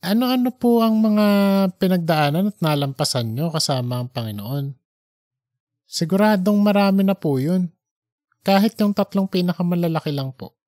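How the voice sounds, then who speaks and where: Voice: medium pitch, expressive, moderate pace, a little mellow, close to an everyday voice. Someone voicing a polite, curious young man. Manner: respectful, questioning. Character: polite, curious young male interviewer, easily baffled. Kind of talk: fictional audiobook character dialogue.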